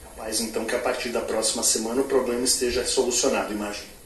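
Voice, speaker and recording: A man's voice: mid-range voice, level tone, fast talker, recorded from a distance. A man with a Bahian Brazilian accent, a TV news reporter posing formal, pressing questions during a live interview with a handheld mic. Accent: Brazilian Portuguese, Bahia. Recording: echoey room, off-mic, faint noise.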